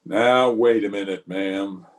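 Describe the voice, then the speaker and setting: A man acts as a steady western marshal in an old-time radio drama. His voice is on the low side, and he speaks in short, measured lines with a calm, plain manner. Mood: grave, steady. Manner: measured, laconic.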